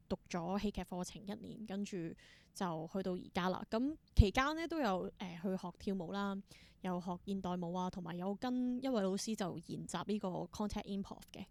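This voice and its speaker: A woman's voice: medium pitch, a little mellow, no room sound. A woman with a Hong Kong accent chatting casually as an interview guest. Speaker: podcast guest, independent theatre artist.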